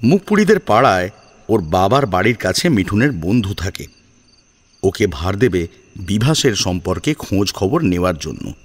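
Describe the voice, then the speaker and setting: A man narrating a dramatic audio story in an expressive storytelling style. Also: low-pitched, moderate pace. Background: music.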